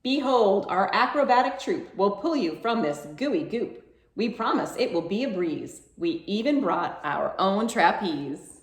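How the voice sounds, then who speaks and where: A woman's voice: mid-range voice, lively intonation, a little slow, roomy sound, close to an everyday voice, poor audio. Woman as a showy, confident storybook acrobat. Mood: grand, confident. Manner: grandly announcing. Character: troupe of confident, showy acrobats promising rescue.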